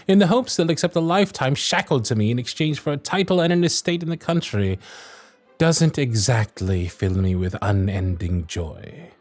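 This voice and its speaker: A man performs a young Victorian gentleman earl for an audio roleplay, proper and principled. His formal, ornate phrasing swings widely in pitch at a medium register. The voice is recorded very dry, with soft music underneath. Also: dry recording. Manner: ornate, formal period diction, exasperated and courteous. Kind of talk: scripted ASMR roleplay, one-sided dialogue.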